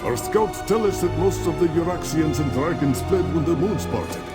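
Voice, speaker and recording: A man's voice: on the high side, animated, normal speed, lightly acted. A man voicing a dutiful military officer in a fantasy video game, formal and deferential to a monarch. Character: dutiful male military officer reporting to his queen. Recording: loud game music behind the voice.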